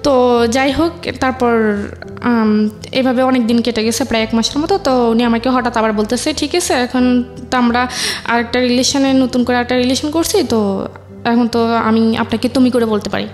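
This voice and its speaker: A woman's voice: fairly high pitch, fairly even intonation, moderate pace. A woman, a guest on a show, telling a personal story and recounting conversations as she goes. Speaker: interview guest. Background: background music.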